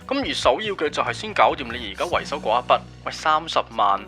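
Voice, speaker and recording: An actor playing a practical, persuasive young friend with a Hong Kong accent in a radio drama. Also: male voice, mid-range voice, sing-song, fast, bright, forceful tone, faint music behind. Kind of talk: Cantonese radio drama acting.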